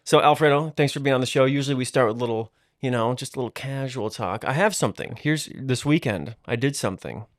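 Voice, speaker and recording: A man's voice: mid-range voice, fast talker, hardly any pauses, no echo, clean studio sound. Chatty man hosting a podcast. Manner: chatty, upbeat, full of fillers. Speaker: podcast host. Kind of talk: casual podcast chat.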